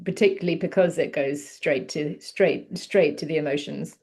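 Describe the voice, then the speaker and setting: A woman's voice: sits low, moderate pace, a little mellow. A woman psychotherapist interviewing a guest, feeling her way through questions with restarts. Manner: searching, restarts mid-question.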